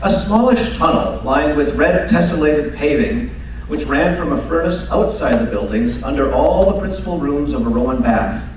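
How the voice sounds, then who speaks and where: Male actor for brisk Victorian detective in old-time radio drama, slightly high voice, drily authoritative, distant and faintly noisy recording. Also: expressive, close to an everyday voice. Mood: precise, learned. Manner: precise, commanding, drily teasing. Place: live stage performance at radio club event. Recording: very echoey hall, distant mic, faint noise.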